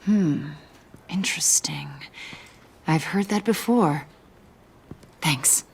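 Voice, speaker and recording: A woman playing a keen, friendly intelligence agent in a video game, husky and breathy, with an inquisitive conversational manner. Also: on the low side, animated, takes it slowly, a little hiss or hum. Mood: intrigued. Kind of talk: video game dramatic acting.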